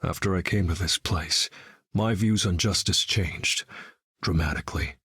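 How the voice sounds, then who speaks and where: A very husky, brooding dark vigilante. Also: male voice, low voice, unhurried, no echo, full character voice. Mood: grim. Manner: brooding, dry, deadpan. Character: grim, battle-hardened male vigilante leader with keen hearing. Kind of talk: video game character voice lines.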